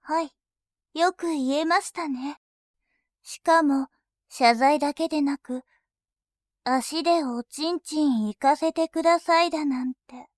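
A woman voicing a composed female bank clerk who speaks in very formal, deliberate language and turns dominating and scornful. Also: sits high, no echo, studio quality. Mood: polite teasing. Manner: very formal polite speech, slow and deliberate. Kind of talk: adult audio drama acting.

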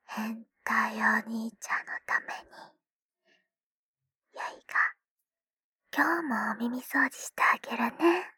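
A woman voicing a teasing, doting schoolgirl little sister in a whispered ASMR roleplay, breathy and playful. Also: a little high, no room sound, clean studio sound, heavily acted. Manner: teasing, playful, slow and soft. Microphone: close microphone.

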